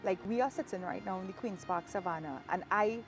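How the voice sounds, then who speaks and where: A woman from Trinidad and Tobago with a Trinidadian accent, an author talking about her book in an outdoor interview in a park, reflective and quick to laugh. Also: medium pitch, faint music behind. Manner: warm, reflective, laughs easily. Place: outdoors in a park, rain falling.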